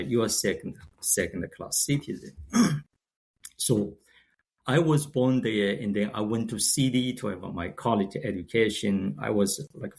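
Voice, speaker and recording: A man in his sixties with a Chinese accent, his first language Shanghainese, speaking as an interview guest. His fairly low voice has a gravelly edge. He talks haltingly, stopping and restarting as he reaches for words. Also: darkish tone. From China. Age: 60s. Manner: halting, many restarts and fillers. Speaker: guest, company founder. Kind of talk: casual interview talk.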